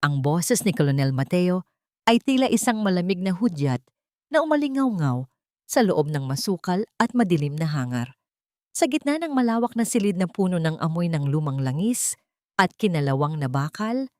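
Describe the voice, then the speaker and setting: A woman's voice: lowish voice, very animated, normal speed, darkish tone, no room sound, studio quality. A woman narrating a dramatic audiobook story in a solemn, suspenseful manner.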